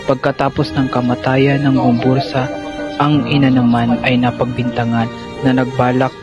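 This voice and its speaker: A man acts as an earnest, proud young man of a historical drama, narrating his life with solemn formality. The sound is dull and lo-fi, with loud instrumental music underneath. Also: medium pitch, monotone, normal speed, lightly acted. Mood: solemn, reflective. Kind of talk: scripted first-person narration in a student historical dramatization.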